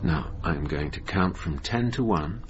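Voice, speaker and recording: Touchy hypnotherapist, low and very expressive, earnest, radio drama, dulled recording. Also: male voice, measured pace, noisy background. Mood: soothing, hypnotic. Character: earnest, touchy male hypnotherapist with a sceptical lover. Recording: dull lo-fi radio recording with music.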